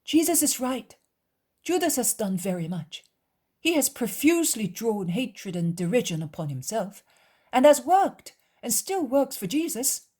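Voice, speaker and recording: A woman's voice: fairly high pitch, very animated, measured pace, a little mellow, slightly rough, pitched above the performer's own voice, heavily acted. A woman voicing a frank, troubled man in a story, reasoning and arguing emphatically. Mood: conceding. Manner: emphatic, argumentative.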